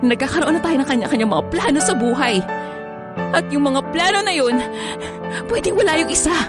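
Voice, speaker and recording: A woman's voice: sits high, expressive, a little fast. A woman with a Filipino accent playing an evasive, weary girlfriend in an online radio drama, reluctant and defensive. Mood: sad, resigned. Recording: music bed under the voice.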